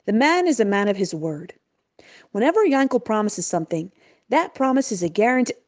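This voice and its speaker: A woman's voice: on the high side, big pitch swings, a little fast, no echo. A woman voicing a guarded, bitter townsman in a storybook tale, speaking in a dismissive, grudging way. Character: guarded, bitter small-town local man.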